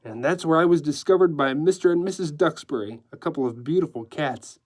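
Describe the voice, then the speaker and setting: A male narrator puts on a theatrical society decorator for an audiobook. He is gleeful and sly, with a raised pitch. He gushes and drops in French phrases with affected flair. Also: brisk, close to an everyday voice. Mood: gleeful, boastful.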